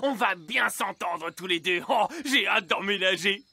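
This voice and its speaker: A man's voice: sits high, measured pace, gravelly, sharp tone, breathy edge, heavily acted. A man voicing a big, cheerful, gluttonous chatterbox garden gnome in a children's cartoon, jovial, rambling and oblivious. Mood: jolly, chatty. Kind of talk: animated children's cartoon acting.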